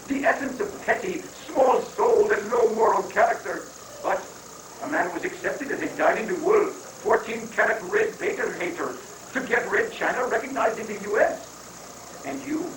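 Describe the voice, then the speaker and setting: A high-voiced, coaxing guru played by a man counsels patiently in stilted, halting phrases. It is a satirical play reading, heard from off the mic in an echoing, noisy room. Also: a bit bright. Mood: persuasive. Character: calm, coaxing male guru advising a president. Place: roomy indoor live reading, far from the mic. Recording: roomy, distant, noisy 1980s live recording.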